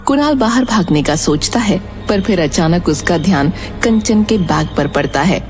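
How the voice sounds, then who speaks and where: A woman narrates a dramatic scripted story. Her voice sits fairly low, and background music plays clearly beneath it. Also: expressive, moderate pace.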